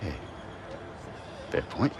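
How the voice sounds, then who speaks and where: An actor playing a middle-aged British father, gruffly affectionate and keen to reconnect, in a game cutscene. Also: drawn-out words, a little hiss or hum. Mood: gently coaxing. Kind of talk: naturalistic video game cutscene acting.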